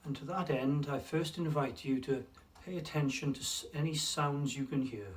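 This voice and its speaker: A man leading a guided mindfulness meditation in a calm, unhurried way, leaving long pauses between phrases. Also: mid-range voice. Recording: distant mic with faint room noise.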